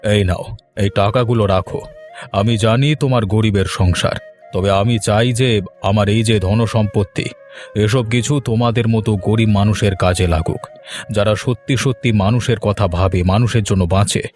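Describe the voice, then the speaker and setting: A man voicing a generous, sentimental village gentleman in a cartoon, speaking effusively and benevolently. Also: on the low side, fairly even intonation, moderate pace, soft background music, lightly acted. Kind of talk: cartoon character dialogue.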